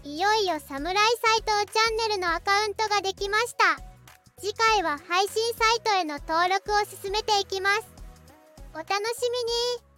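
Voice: very high-pitched, moderate pace, bright, forceful tone, soft background music. A performer voicing a cute childlike announcer character, reading a scripted podcast announcement. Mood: perky announcing.

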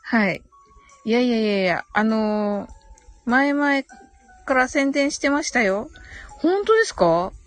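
A woman with a fairly high voice hosts a casual live chat over background music. She reads out comments and answers them politely, with many small agreeing murmurs. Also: expressive, measured pace, long pauses, rough recording. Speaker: live audio stream host.

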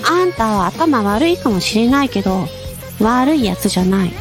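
A woman's voice: a little high, animated, normal speed, music in the background, lightly acted. A woman voicing a cheeky, bossy young witch in an anime-style audio drama, cocky and blunt. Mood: blunt, determined. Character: cheeky, bossy young witch girl, brash but kind.

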